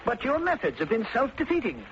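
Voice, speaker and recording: A man's voice: extremely high pitch, huge pitch swings, a little fast, fairly bright tone, heavily acted. An actor playing a fussy, indignant male client in an old radio drama, speaking in a prim, formal, reproachful way. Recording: muffled, noisy vintage radio recording.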